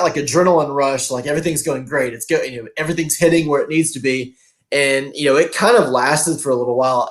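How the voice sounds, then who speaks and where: A man hosting a casual author interview over a video call, upbeat and laughing often, with many fillers. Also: medium pitch. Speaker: host.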